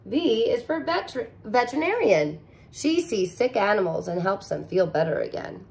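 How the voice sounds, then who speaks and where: A woman in her thirties, a teacher, reading a picture book aloud to young children in an expressive, sing-song way, recorded close to the camera in a living room. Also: medium pitch, moderate pace, vocal fry. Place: living room with couch, indoors.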